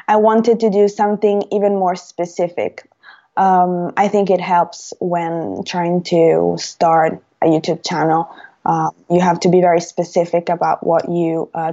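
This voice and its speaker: A woman's voice: fairly low pitch, warm tone, dull audio. A young woman from Rome with an Italian accent, a language teacher answering interview questions hesitantly, with many fillers. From Italy, Rome. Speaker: language teacher, podcast guest.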